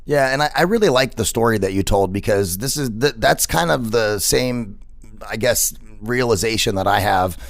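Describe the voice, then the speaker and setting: A man's voice: lowish voice, normal speed, vocal fry. A man, hosting a radio interview show in casual chat, rambling with many fillers and repeated words. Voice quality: big voice. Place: radio studio.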